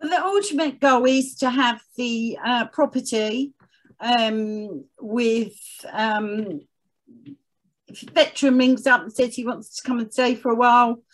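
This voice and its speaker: Female podcast guest, fairly high voice, conversational personal storytelling. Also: unhurried, low-quality recording. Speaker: podcast guest, charity chief executive.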